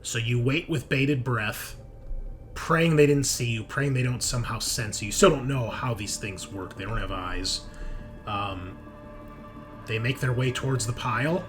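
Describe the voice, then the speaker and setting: A man narrating a tabletop horror game as game master, improvising in halting, suspenseful phrases. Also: mid-range voice, soft background music.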